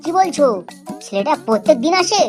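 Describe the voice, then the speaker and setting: Woman playing very high-pitched bawdy cartoon mother-in-law. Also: sing-song, fairly quick, a little mellow. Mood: meddling. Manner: scolding, suggestive. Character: nosy, bawdy middle-aged village mother-in-law. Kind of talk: Bengali comedy cartoon dialogue. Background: background music.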